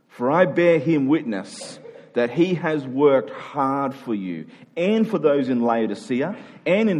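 An Australian man speaking as a pastor in church, with measured, deliberate phrasing as he preaches, reads scripture and leads the congregation. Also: medium pitch, a little mellow. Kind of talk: sermon with scripture reading and prayer.

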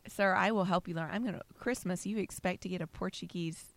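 A woman's voice: fairly low pitch, fairly quick, creaky, dry recording. A woman in her thirties co-hosting a casual chat podcast, bubbly and teasing, who laughs often. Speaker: podcast co-host.

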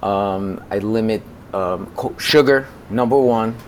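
An American man in his forties speaking conversationally as an interview guest, in a halting way that often repeats words. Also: on the low side, slight background noise. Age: 40s. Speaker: podcast guest, music consultant.

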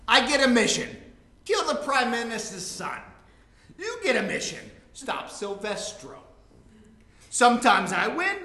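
An actor playing a theatrical, needy, taunting evil-genius spy in a live comic play reading, bombastic and petulant. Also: male voice, very high voice, sharp tone, heavily acted. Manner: bombastic, petulant, gleefully menacing. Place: live performance in a room. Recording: roomy live recording with background noise.

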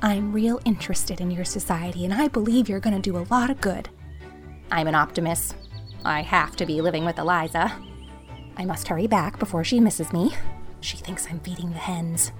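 A woman's voice: mid-range voice, sing-song, a little slow, vocal fry, a bit bright, soft background music, heavily acted. A woman voicing a timid but cheerful older spinster, mild, earnest and hopeful, in an audiobook story. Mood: eager, hopeful. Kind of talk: audiobook character dialogue.

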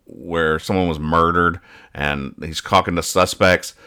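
A man hosting a podcast, casually chatting through comic strips in a halting, rambling, joking way. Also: deep voice, steady tone, pushed and bright, clear, resonant tone. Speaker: podcast host. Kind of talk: casual chat, describing comic strips aloud.

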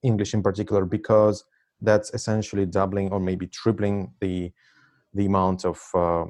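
A man's voice: sits low, flat delivery, moderate pace, darkish tone. Hesitant Russian-accented man in his forties. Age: 40s. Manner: hesitant, frequent fillers, laughs often. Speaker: interview guest. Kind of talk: casual conversation.

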